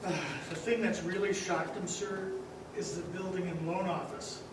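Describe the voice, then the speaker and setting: A man playing a gentle, innocent, very old little angel in a stage radio play, earnest and patient. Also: high-pitched, steady tone, normal speed, close to an everyday voice. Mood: dutiful, concerned. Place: live stage in a large echoing hall. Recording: echoey hall from far off, noisy.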